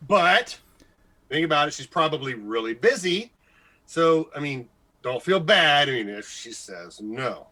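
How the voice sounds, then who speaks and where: A man playing an excitable, jealous, insecure morning radio host in a radio comedy-drama, stammering, gushing and evasive. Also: on the high side, measured pace, heavily acted. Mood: flustered, jealous.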